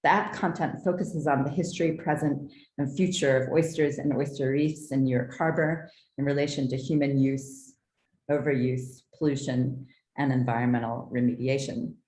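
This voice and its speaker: A woman from the southeastern United States presents her own art in a prepared talk, with measured, articulate, formal delivery. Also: extremely low pitch, steady tone, darkish tone, a little hiss or hum. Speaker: artist presenting own work. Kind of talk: prepared artist talk, read from notes. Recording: roomy, echoing sound.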